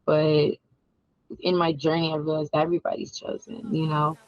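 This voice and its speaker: A woman's voice: low-pitched, little pitch movement, normal speed, no echo. A young woman filmmaker giving reflective interview answers, loose and full of fillers, in a muffled recording. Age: young adult. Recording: dull, muffled audio.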